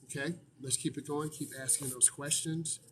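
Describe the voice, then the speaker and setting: A man's voice: fairly high pitch, steady tone, moderate pace, a bit bright, slight background noise. A man, a driving instructor, casually answering viewer questions in a live stream, chatty with frequent fillers.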